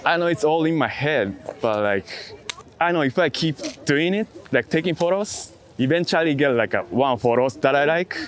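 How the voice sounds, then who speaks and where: A man's voice: on the high side, expressive, moderate pace. A man being interviewed casually about his work, speaking reflectively in short, hesitant fragments with non-native English phrasing. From outside the US. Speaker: street photographer being interviewed.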